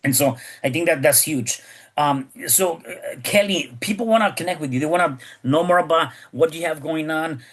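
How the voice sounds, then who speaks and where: A man's voice: a little high, moderate pace, a bit bright. A man hosting a podcast, talking casually and rambling with many repeated words and restarts. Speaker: podcast host.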